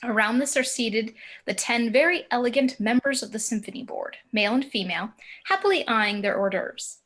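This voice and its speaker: A woman reading screenplay action descriptions as narrator in a table read over a video call, in deliberate short phrases. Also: a little high, lively intonation, fairly bright tone. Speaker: stage-direction narrator.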